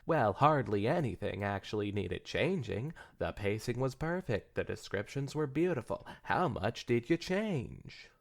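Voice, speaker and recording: A man's voice: medium pitch, big pitch swings, a little slow, creaky, few pauses. A man voicing a composed, candid female pony novelist who gives articulate praise in a fanfiction reading. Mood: shocked.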